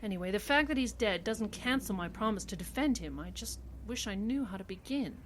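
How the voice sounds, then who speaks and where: An actress playing a determined, quick-witted woman lawyer in an old-time radio detective play, assured, articulate and wry. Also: mid-range voice, animated, very noisy, close to an everyday voice. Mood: thoughtful, resolute. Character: determined, idealistic woman defense attorney, affectionate and quick-witted.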